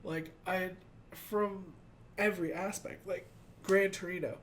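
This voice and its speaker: A man's voice: high-pitched, steady tone, a little slow, fairly bright tone, a little hiss or hum. A young man hosting an anime reaction at home, stuffed up from crying and rambling with lots of "like.". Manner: rambling, fills talk with "like". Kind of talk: emotional casual reaction chat. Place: small home room with shelves of figures.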